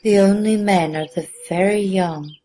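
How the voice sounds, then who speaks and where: A woman playing a gracious, solemn priestess who leads a village, speaking formally and with measured care in an audio drama. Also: medium pitch, dark tone, a little hiss or hum, lightly acted. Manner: formal, measured, stately speech.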